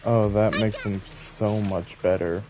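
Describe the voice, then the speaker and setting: A man's voice: on the low side, fairly even intonation, a little slow, very noisy. A man giving casual, rambling, self-deprecating live commentary as he streams a game, musing to viewers. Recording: game music behind voice. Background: video game music.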